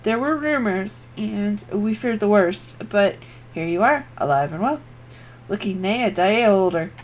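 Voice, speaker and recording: A hearty veteran rebel fighter with old-fashioned turns of phrase, as a woman reads him out. She keeps near her own voice, projected and steady, with no deep put-on tone. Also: unhurried, a little hiss or hum, lightly acted. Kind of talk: reading game dialogue aloud.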